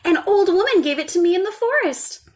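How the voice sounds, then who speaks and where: A woman plays a poor little girl from a fairy tale. The voice is raised high and sounds young, with an earnest, expressive delivery suited to a children's storybook. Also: fairly quick. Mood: grateful, eager.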